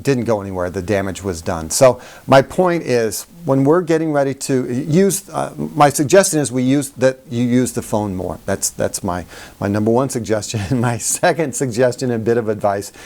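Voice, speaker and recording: Male, middle-aged, medium pitch. Coach-style presenter, conversational and emphatic, tells anecdotes at his own expense. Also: lively intonation, normal speed, a little mellow. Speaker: sales communication coach hosting a how-to video. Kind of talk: conversational how-to talk with personal anecdotes.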